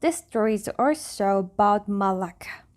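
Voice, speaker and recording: A woman's voice: mid-range voice, expressive, moderate pace, a little mellow. A woman from South Korea, a native Korean speaker, reading stories aloud and chatting in simple, careful non-native English. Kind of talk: soft storybook reading and casual chat.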